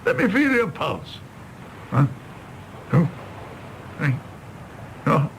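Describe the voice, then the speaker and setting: An actor playing a world-weary, kindly, self-deprecating older doctor in a radio play, speaking in florid, expressive, drawn-out phrases. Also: male voice, on the high side, exaggerated voice. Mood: amused, mock-heroic. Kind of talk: British stage-play radio drama acting. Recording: clear background noise.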